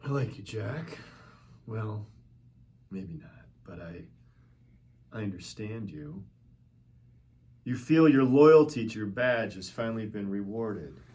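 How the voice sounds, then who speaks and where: Low-voiced, unfazed man acting an arms dealer. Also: animated, darkish tone. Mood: cool, knowing. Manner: casual, unhurried defiance. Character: unfazed, cynical male arms dealer under interrogation, coolly defiant. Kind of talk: acted screenplay table read. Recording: noticeable background noise.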